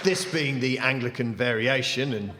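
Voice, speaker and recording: A man's voice: medium pitch, moderate pace, a loud crowd. A man with a dry, needling manner, bantering as a panellist on a comedy game. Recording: echoey theatre, loud audience and noise.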